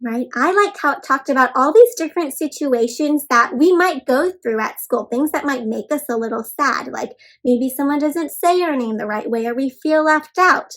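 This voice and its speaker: High-pitched woman reading to young children. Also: moderate pace, hardly any pauses, poor audio. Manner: expressive, encouraging, asks the listener questions; addresses listeners as friends. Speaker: children's read-aloud host. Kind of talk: interactive storybook read-aloud for young children.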